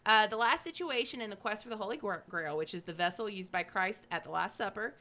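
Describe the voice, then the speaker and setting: Female podcast co-host, bright tone, average pitch, chatty and informal while reading prepared notes. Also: a little fast. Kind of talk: reading notes aloud with casual asides.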